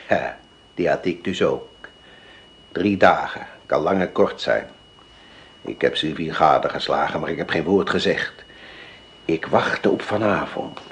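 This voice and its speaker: An actor playing a sly, calculating old village boss in a radio drama, telling things in a deliberate, theatrical way. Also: male voice, low-pitched. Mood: sly narrating. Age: older.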